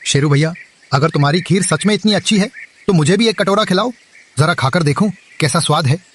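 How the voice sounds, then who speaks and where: A man's voice: on the high side, brisk, a bit bright, a little hiss or hum, lightly acted. A man playing a naive male pigeon customer in a children's cartoon story. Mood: curious, eager. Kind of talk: animated children's moral story dialogue.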